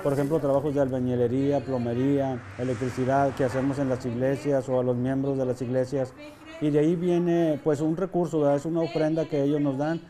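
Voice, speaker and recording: A man's voice: mid-range voice, flat delivery, fast, background noise. A man, a church pastor, giving an interview answer.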